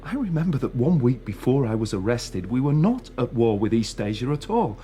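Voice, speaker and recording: A man's voice: medium pitch, big pitch swings, brisk, mellow, slight background noise, natural voice. A man acting a frightened, tormented prisoner in a radio drama, earnest, strained and stubborn. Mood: desperately insistent.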